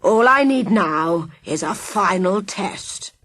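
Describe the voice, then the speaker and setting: A woman voicing a sneering male villain mastermind, drawing out his words slowly and menacingly. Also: medium pitch, expressive, a bit bright, no echo. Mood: sneering menace.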